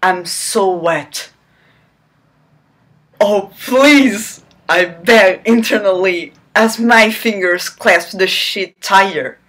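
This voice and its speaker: A woman's voice: mid-range voice, drawn-out words, a bit bright. A woman hosting her channel, reading a romance novel aloud in an over-the-top theatrical way and breaking off for sarcastic jokes. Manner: theatrical, breaks off to joke and squeal. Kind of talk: mock-dramatic reading with sarcastic asides.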